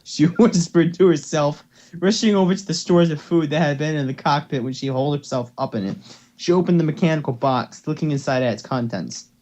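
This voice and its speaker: A man's voice: a little high, brisk, a little mellow. A young man giving a casual amateur reading of a story, playful and stumbling, with offhand asides. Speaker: reader. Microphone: headset or desktop mic on voice call.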